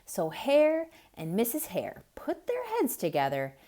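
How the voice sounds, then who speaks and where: Slow, expressive woman reading to kids. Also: a little high. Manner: expressive, asking the listener questions. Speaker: children's storyteller. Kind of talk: theatrical read-aloud for kids.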